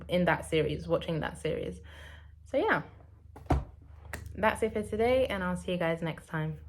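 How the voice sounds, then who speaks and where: A woman whose first language is French, chatting casually as she works through translations on her language-learning vlog and thinks aloud. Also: mid-range voice.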